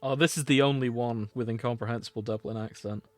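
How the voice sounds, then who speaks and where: A man's voice: medium pitch, steady tone, fast, no room sound. A man hosting a podcast, chatting in a casual, comedic way. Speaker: podcast host.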